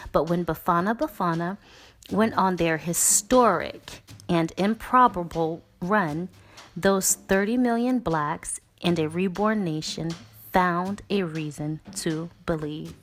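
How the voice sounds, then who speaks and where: A woman narrating a children's book as a read-aloud, in an expressive, deliberate way. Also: fairly low pitch, a little hiss or hum.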